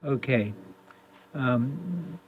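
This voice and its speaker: A man talking casually through a hands-on repair demonstration, halting as he thinks aloud, recorded on a phone camera. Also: mid-range voice, lively intonation. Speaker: radio repair hobbyist. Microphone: phone camera propped near the workbench. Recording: lo-fi phone recording with faint noise.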